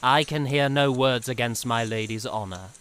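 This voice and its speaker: An actor playing a courtly, haughty young knight in a fan audio drama, speaking grand archaic lines with theatrical amusement. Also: male voice, medium pitch, moderate pace, a bit bright, a little hiss or hum, lightly acted. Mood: courtly, earnest.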